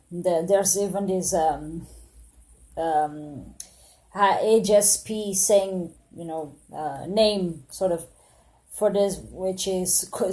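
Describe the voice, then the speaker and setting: Mellow-voiced woman thinking aloud, halting. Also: medium pitch, poor audio. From Portugal, born in Angola. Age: 30s. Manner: hesitant, many fillers and restarts, earnest and reflective. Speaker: vlogger. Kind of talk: spontaneous monologue to camera.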